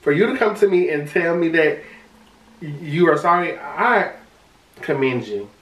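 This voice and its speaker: High-voiced man in a roomy room. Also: a little slow. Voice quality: high-pitched voice. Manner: animated, repeats and restarts words. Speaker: podcast co-host. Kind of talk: casual podcast chat. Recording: roomy sound from a distant mic.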